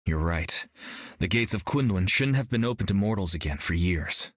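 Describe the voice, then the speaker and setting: Brash joking martial arts hero, dry booth recording. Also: male voice, deep voice, expressive, normal speed, fairly bright tone, dry recording. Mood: cocky. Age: young adult. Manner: cocky, joking. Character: brash young male martial artist, cocky and upbeat. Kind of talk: video game voice acting.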